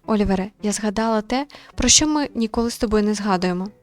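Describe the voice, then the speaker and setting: A woman reading a tired, reserved young working woman in a visual novel, quiet, polite and hesitant. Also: mid-range voice, fast, dry recording, soft background music, lightly acted. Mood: resolute.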